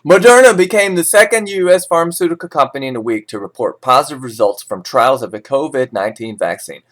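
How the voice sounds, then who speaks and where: A man's voice: medium pitch, animated, fast talker. A man reading financial news as an informal host, breaking into sarcastic, opinionated and folksy asides.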